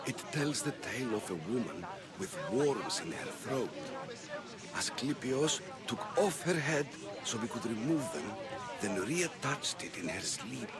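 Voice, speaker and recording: An actor playing a nervous, pious old temple priest in a video game, hesitant and evasive in manner. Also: male voice, medium pitch, animated, crowd noise. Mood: reverent storytelling. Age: older. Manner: hesitant, halting, secretive. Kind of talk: video game dramatic dialogue.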